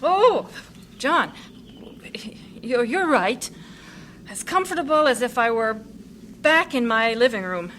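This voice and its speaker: A woman's voice: fairly high pitch, expressive, slow pace, pauses often, a bit bright, a little hiss or hum. A woman playing a frank young missionary woman. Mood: excited. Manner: earnest, outspoken.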